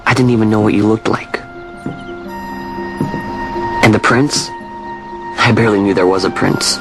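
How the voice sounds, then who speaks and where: A young actor playing an earnest young orphan adventurer who talks plainly and with searching feeling. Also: male voice, fairly low pitch, fairly even intonation, measured pace, loud music behind the voice. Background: orchestral score.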